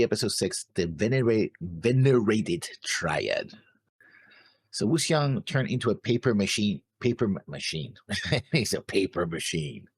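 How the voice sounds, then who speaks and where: Deep, husky man with swinging pitch. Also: no room sound. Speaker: reaction show co-host. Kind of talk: casual chat.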